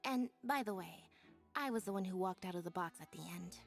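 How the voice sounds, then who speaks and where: A woman's voice: mid-range voice, animated, a little fast, natural voice. A woman voicing a composed young female magician's assistant in a video game. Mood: matter-of-fact. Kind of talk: video game dialogue acting. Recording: faint music behind the voice.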